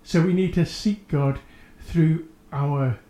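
In a roomy, echoing space, a man hosts a faith programme. His voice is mellow and a little high for a man, and he reflects in measured phrases. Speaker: host of a religious radio programme.

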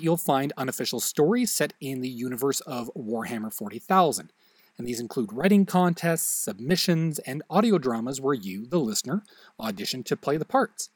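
A man with a mid-pitched, animated voice hosts an audio drama podcast. He delivers a scripted, friendly outro straight to the listener in a dry recording. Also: breathy edge, no room sound. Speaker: host and producer of audio drama.

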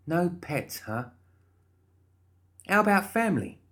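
A man's voice: sits high, very animated, takes it slowly, a bit bright, pitched above the performer's own voice, heavily acted. A man voicing a perky, chatty undead woman in an audiobook, bubbly and pushy with coaxing false reassurance. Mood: chirpy, coaxing. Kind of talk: audiobook character voice.

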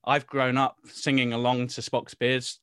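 A man's voice: mid-range voice, level tone, a little slow, fairly bright tone, clean tone, dry recording. Chatty male singer, dry close sound. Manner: chatty, laughing, hesitant restarts. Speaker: guest singer. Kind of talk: casual interview chat.

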